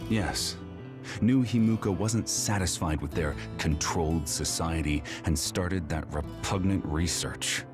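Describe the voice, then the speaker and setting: Game rebel commander: extremely low voice, cold and commanding, music underneath. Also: male voice, measured pace. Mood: cold, resolute. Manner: disdainful, commanding. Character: cold, scornful major general turned rebel commander. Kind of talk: video game cutscene acting. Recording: music behind the voice.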